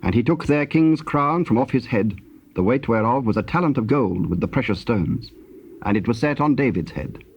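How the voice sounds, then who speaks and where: A man with a husky voice narrating scripture in a solemn, scripted style. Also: medium pitch, animated, moderate pace, darkish tone, slight background noise. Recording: dull, lo-fi tape sound.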